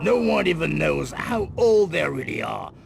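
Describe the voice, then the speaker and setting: An actor playing a grim, guarded middle-aged crime boss in a video game cut-scene, with a gravelly voice and grave, deliberate delivery. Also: male voice, on the high side, sing-song, heavily acted. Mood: grave, ominous. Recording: loud music under the voice.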